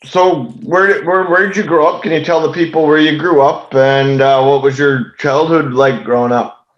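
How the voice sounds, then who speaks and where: Guy cohosting a podcast, bit high voice, roomy echo, casual questions. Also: steady tone, normal speed, highs cut off. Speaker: podcast co-host. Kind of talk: casual interview. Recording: roomy, echoey sound.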